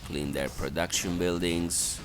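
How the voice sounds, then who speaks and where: A man's voice: low-pitched, steady tone, measured pace, noisy background. A man giving an unscripted strategy game walkthrough in a hesitant, step-by-step instructional manner. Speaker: gameplay guide narrator.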